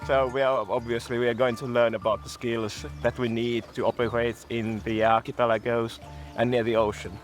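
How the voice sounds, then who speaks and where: A man's voice: medium pitch, flat delivery, a little fast, music in the background. A man on a military course, explaining it to an interviewer in a conversational way.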